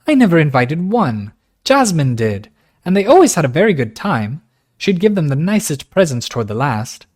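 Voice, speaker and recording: A high, quick young woman's voice, put on by a male reader for an audiobook. She speaks with frank, impulsive passion and a lively lilt. Her words tumble out in bursts. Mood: passionate.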